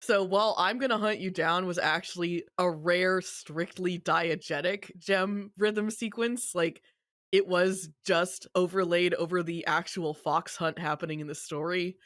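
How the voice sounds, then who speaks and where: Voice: mid-range voice, fairly even intonation, measured pace, fairly bright tone, no room sound, studio quality. A podcast host recapping a story in casual chat, with a wry manner and easy swearing.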